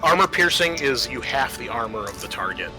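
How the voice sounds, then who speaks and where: A man acting as game master, halting and informal as he explains rules and narrates a tabletop roleplaying game. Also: mid-range voice, very animated. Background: music.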